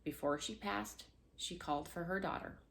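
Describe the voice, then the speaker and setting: Creaky low-voiced woman reading a fairy tale. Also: normal speed, fairly bright tone. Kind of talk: scripted children's storybook narration.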